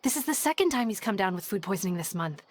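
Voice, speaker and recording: A woman's voice: medium pitch, big pitch swings, fast, faint music behind, natural voice. A woman playing a dutiful adult female forest ranger in a video game. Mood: brisk, agreeing.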